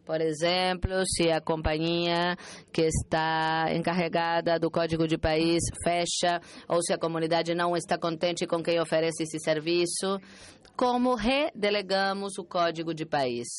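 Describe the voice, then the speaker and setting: A woman working as a conference interpreter, interpreting a talk with an uneven pace that rushes and then slows, pausing and restarting often. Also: on the low side, fairly even intonation. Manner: uneven pace, alternately rushing and slowing.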